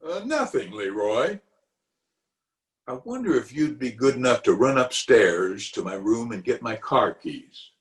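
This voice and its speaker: A man's voice: medium pitch, sing-song. A man playing a blustery, genial middle-aged small-town uncle in a pompous, theatrical 1940s radio comedy style. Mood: genial, flustered. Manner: pompous, theatrical, with drawn-out hesitations and chuckles. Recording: music behind the voice, remote home recording.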